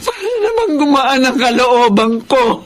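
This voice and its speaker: A woman's voice: high voice, very animated, a little slow. A woman playing an anxious older mother in a radio drama, tearful and pleading.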